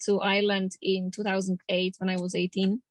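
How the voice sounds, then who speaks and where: A woman's voice: medium pitch, completely flat delivery, fairly quick, no echo. A woman in her thirties from Poland with a Polish accent, a boxer speaking in a casual interview in plain, determined, slightly non-native English. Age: 30s. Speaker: professional boxer.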